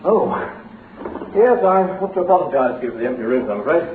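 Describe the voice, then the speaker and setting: Patronising, falsely jovial businessman for vintage radio drama, highly varied delivery, phone-like sound. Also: very animated, quick pace. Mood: glib, apologetic. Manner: hearty, patronising, chuckling. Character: glib, jovial businessman hiding a swindle, falsely encouraging. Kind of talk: old-time radio drama acting. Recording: lo-fi narrow-band radio recording, faint noise, roomy.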